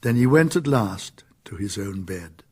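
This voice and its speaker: A British man narrating a radio drama in a measured, literary storytelling manner. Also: fairly low pitch, lively intonation, husky.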